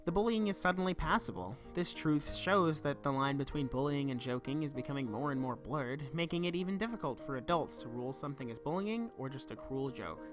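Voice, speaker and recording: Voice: medium pitch, lively intonation, fairly quick, few pauses. A young adult giving an earnest, reflective scripted narration for a video essay. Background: background music.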